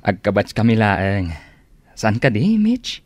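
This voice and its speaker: Earnest young shopkeeper boyfriend, radio drama: lowish voice, gentle, sincere. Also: male voice, very animated, slow pace, dry recording, exaggerated voice. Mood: friendly. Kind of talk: Ilocano radio drama acting.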